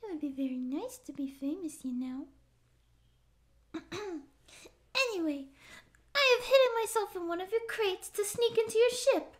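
A woman's voice: very high-pitched, lively intonation, normal speed, pauses often. A woman voicing a timid but eager young catgirl who wants to be a space pirate, girlish and sometimes whispery, with nervous bluster that slips into meek rambling, in an audio roleplay. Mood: sheepish, rambling. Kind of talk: scripted ASMR audio roleplay.

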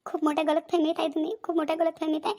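A woman's voice: extremely high pitch, level tone, very fast, mellow. A woman voicing an aggrieved young daughter-in-law in a cartoon family drama, insistently repeating herself. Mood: tearful, bitter. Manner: repeats phrases insistently, rhetorical questions. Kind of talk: Marathi cartoon family comedy-drama acting.

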